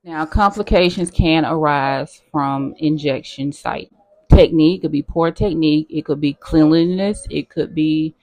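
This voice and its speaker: A nurse chatting casually with her viewers in a how-to vlog, talking straight to them as y'all, her voice hoarse. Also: low-pitched, a little slow, darkish tone, rough recording. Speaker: nurse sharing her own experience.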